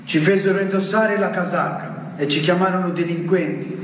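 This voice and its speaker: Higher voiced guy reading a poem, tons of hall echo. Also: fairly even intonation, moderate pace, a bit bright. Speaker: poetry reader. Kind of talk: poetry recitation. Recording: echoey hall from far off, faint noise.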